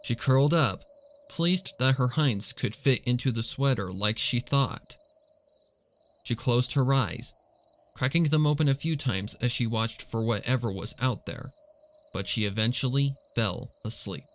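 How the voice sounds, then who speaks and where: A man's voice: medium pitch, measured pace. A man reading a suspenseful story as narrator, in a scripted dramatic storytelling style. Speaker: fan fiction reader and narrator.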